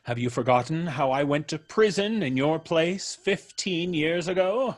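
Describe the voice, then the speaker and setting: An actor playing a vengeful, gloating ex-convict in an old-time radio drama, with theatrical menace and florid, drawn-out diction. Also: male voice, on the high side, soft background music, close to an everyday voice. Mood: vengeful, menacing.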